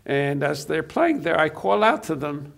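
A man's voice: a little high, sing-song, a little slow, vocal fry. An older man from Poland, Yiddish his first language, reminiscing in a studio interview, hesitant with many fillers and restarts, on a lapel mic. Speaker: interview guest. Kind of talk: reminiscing conversation. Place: TV studio set.

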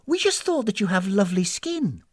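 A woman's voice: mid-range voice, big pitch swings, normal speed, slightly rough, dry recording, studio quality, voice pushed up. A woman voicing a friendly, flustered old lady who runs a spa, in an airy, hesitant, over-polite storybook manner. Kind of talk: storybook character voice.

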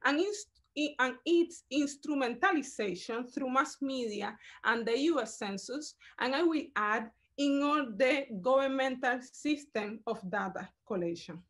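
A woman curator lectures over slides through a webcam mic. Her voice sits high, and she speaks in a measured, halting way, filling gaps with ums and uhs. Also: a bit bright. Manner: measured, halting, with frequent fillers. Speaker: curator giving a talk.

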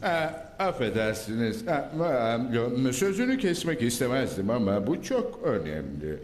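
A dirt-blob creature voices his doubts with hesitant, excessive politeness. His pitch swoops widely. The recording has a long, echoey reverb. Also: very animated, unhurried, darkish tone, a little hiss or hum. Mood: polite.

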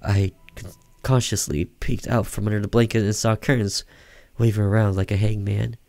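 A man reading a horror visual novel aloud in a slow, theatrical, suspenseful narration. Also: fairly low pitch, little pitch movement, dark tone, dry recording. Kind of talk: dramatic narration of a horror story.

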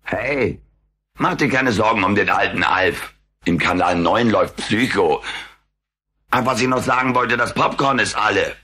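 Cheeky, husky, low-voiced alien. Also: male voice, unhurried, bright, forceful tone, full character voice. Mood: flippant. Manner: sardonic, flippant, mock-offended. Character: cheeky, wisecracking furry alien houseguest, male. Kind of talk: German audio drama for children.

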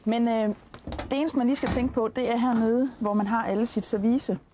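A woman's voice: a little high, steady tone, background noise. A Danish woman in her forties presenting practical tips to camera as a travel host. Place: outdoors at a campsite, in and beside a tent awning.

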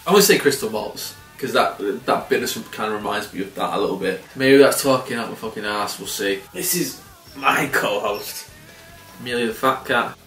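A man in his thirties casually reviewing a beer to camera, relaxed and swearing freely with frequent chuckles. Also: on the low side, moderate pace, faint music behind. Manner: laid-back, swears casually, chuckles often. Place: small indoor room by window blinds. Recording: roomy and slightly distant.

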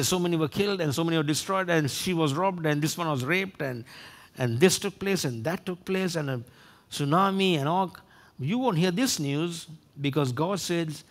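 Preacher, male, 60s, high voice, emphatic and repetitive sermon delivery. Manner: emphatic, repeats phrases for effect. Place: church stage, indoors. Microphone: headset.

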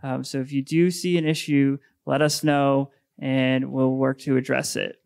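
A man in his thirties with a gravelly yet smooth voice talks to a forum in a flat, even tone. His delivery is casual and encouraging. The sound is dry and close. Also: medium pitch, a little slow, no echo. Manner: casual, encouraging, many fillers. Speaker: waterkeeper. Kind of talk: public forum presentation.

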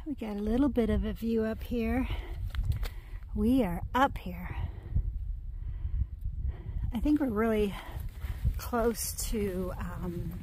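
Older woman, hiking vlogger, unhurried, slightly high, chatty and excitable, filmed outdoors on a phone with faint noise behind. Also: low-quality recording. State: a little out of breath from hiking. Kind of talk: casual vlog narration. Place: outdoors on a dam and mountain trail. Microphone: phone camera at arm's length.

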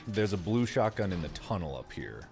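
A man's voice: deep voice, sing-song, a little fast, vocal fry, music in the background. A man playing along on voice chat, laid-back and teasing as he chats during a game. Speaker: co-player on voice chat. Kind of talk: casual gaming chat.

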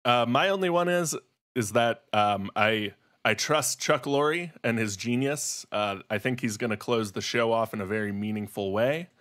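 A man's voice: mid-range voice, moderate pace, fairly bright tone. A man co-hosting a podcast, talking in a mock-earnest conversational style. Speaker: podcast co-host.

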